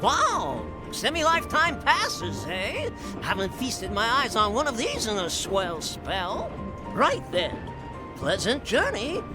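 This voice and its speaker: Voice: mid-range voice, huge pitch swings, slow, poor audio. An actor voicing a quirky, spiny creature who works as a train conductor and talks in folksy wordplay. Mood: jolly. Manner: folksy wordplay, singsong delivery. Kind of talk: game cutscene voice acting. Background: game score music.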